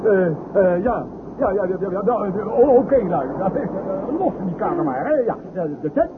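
An actor playing an excitable, bossy holiday camper in a Dutch radio play, stammering and repeating himself. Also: male voice, high voice, moderate pace. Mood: flustered, excited. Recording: noisy, tinny old radio drama recording.

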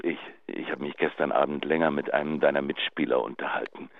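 An actor playing a betrayed, bitter husband leaving emotional, halting phone messages in a German radio drama. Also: male voice, a bass voice, creaky, exaggerated voice. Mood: wounded, wistful. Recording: dull answering-machine sound, faint noise.

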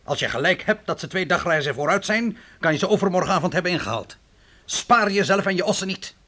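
Bright-voiced, brusque frontier trapper. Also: male voice, sits high, lively intonation, fast talker, exaggerated voice. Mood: urgent, grave. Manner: brusque, urgent, giving orders. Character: gruff, commanding frontier trapper, grown man. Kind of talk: Dutch radio play acting.

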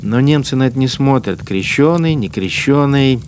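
A man's voice: mid-range voice, expressive, normal speed, a little mellow, a little hiss or hum, lightly acted. An actor in a Russian radio drama playing a cynical, menacing collaborator policeman who muses in mocking, colloquial speech. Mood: matter-of-fact musing. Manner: colloquial, mocking, musing to himself.

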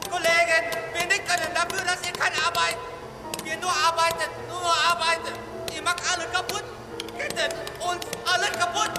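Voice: extremely high pitch, flat delivery, normal speed. An actor playing a desperate forest worker, pleading in urgent short exclamations and broken grammar in a radio drama. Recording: long echoing reverb, music behind.

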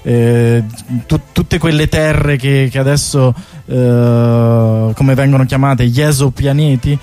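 A man's voice: mid-range voice, fairly even intonation, takes it slowly, mellow. Informal man chatting over music. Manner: informal, hesitant, rambling explanations with word repetitions. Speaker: guest artist presenting a bike tour project. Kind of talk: casual radio interview chat. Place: radio studio. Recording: music playing behind the voice.

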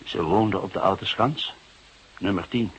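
Low-voiced, seasoned police detective. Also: male voice, husky, exaggerated voice. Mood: calm, probing. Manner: measured, hesitant, musing. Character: seasoned, sceptical, patient older male police detective. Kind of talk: radio drama acting. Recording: noisy radio drama recording.

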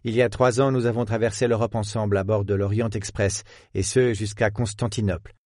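A man's voice: on the low side, fairly even intonation, a little mellow, dry recording, studio quality, lightly acted. A man doing a courteous detective. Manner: measured and courteous. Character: polite, witty male detective in his prime.

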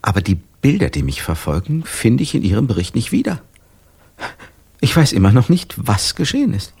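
A low, gravelly gentleman of 1917 Paris in a radio drama. He is eccentric and confused, speaking with courtly formality and musing pauses, his pitch swinging widely. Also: wildly swooping pitch, exaggerated voice. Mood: confused, searching. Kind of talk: German radio drama acting.

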